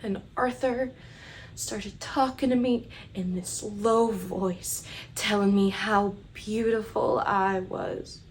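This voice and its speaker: A young woman acting a nervous, naive religious high school girl in a dramatic monologue, hesitant and confiding. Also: steady tone, slow, a little hiss or hum. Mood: uneasy, confiding.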